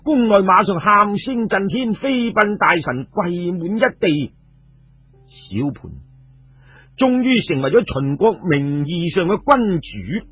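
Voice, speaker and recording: A man's voice: a little high, breathy edge. A man telling a story in a theatrical, emphatic radio-narration style. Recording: muffled phone-like radio recording, music behind.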